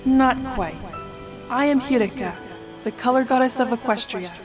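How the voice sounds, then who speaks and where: A woman's voice: a little high, normal speed, close to an everyday voice. A woman voicing a serene, motherly goddess in a fantasy audio drama, speaking formally and solemnly. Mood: solemn, grand. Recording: echoey reverb with music behind.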